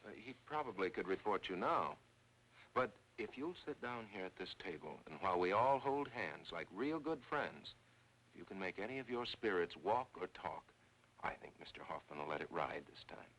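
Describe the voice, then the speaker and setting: An actor playing a skeptical, exasperated fiancé in a film noir, with blunt, sarcastic delivery. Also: male voice, deep voice, lively intonation, moderate pace, a bit bright, exaggerated voice. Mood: wry, scornful. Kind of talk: 1940s film noir acting. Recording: faintly noisy old film soundtrack.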